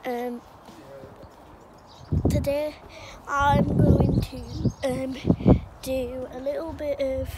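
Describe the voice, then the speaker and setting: A high-voiced girl, talking slowly and hesitantly through a house tour, full of ums and pauses. She records on a phone held at arm's length as she walks through the rooms. The home recording is noisy, with dishes clattering behind her. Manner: hesitant, lots of ums, rambling. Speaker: vlogger giving a house tour. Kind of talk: casual home vlog. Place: suburban house, indoors and garden.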